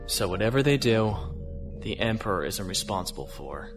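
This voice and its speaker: Lowish male voice, calm starship captain, measured, thoughtful, music behind, sci-fi audio drama.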